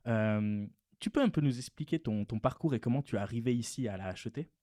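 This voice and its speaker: A man's voice: mid-range voice, lively intonation, a little fast, warm tone, dry recording. Casual young man hosting, dry sound. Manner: laid-back, joking, many fillers. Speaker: podcast host. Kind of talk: casual interview. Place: studio.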